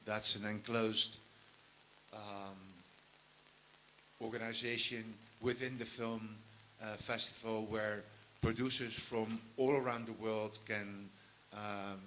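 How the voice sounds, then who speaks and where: A man, a film commissioner, speaking on a panel in a halting way with many pauses and restarts. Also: lowish voice, level tone, sharp tone, slight background noise, poor audio. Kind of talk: panel talk.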